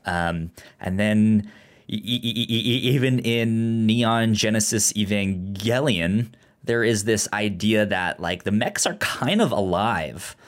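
A man with a fairly low voice talks casually about film as a podcast co-host. His delivery is slow and halting, and he often catches on words and repeats them. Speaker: podcast co-host discussing films.

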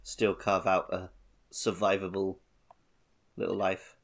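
Male gaming podcast co-host with a fairly low voice, conversational and informal. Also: flat delivery, takes it slowly, vocal fry. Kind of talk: casual video game playalong chat.

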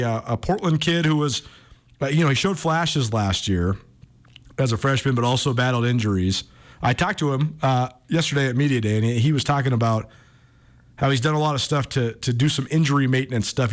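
Male sports radio host, medium pitch, chatty and informal commentary with an enthusiastic streak.

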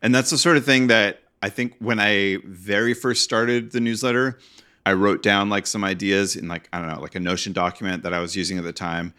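Deep-voiced, enthusiastic man. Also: fairly even intonation, fairly quick, bright, forceful tone. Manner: enthusiastic, rambling, many "like" fillers. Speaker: podcast co-host, newsletter writer. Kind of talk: casual chat.

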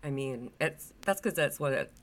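A woman's voice: extremely low pitch, lively intonation, moderate pace. A woman co-hosting a casual podcast, her voice scratchy from allergies, chatting along and agreeing often. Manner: chatty, agreeable, backchannels a lot. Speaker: podcast co-host.

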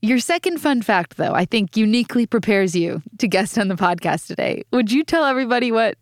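A woman's voice: on the high side, lively intonation, a little fast, no echo. A woman hosting a podcast, asking her guest questions in an eager, upbeat way from a studio. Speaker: podcast host. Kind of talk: casual interview.

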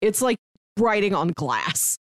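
A woman's voice: medium pitch, sing-song, takes it slowly, dry recording, clean studio sound. A woman podcast co-host chatting casually about films and shows in a wry, talkative way.

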